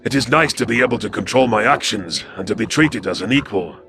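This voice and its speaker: A man voicing a scrawny, wary alien predator soldier in an audio drama, gravelly, deferential yet cold and terse. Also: lowish voice, expressive, a little fast, voice pushed up. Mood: earnest, deferential. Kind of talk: audio drama character acting. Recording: music behind the voice.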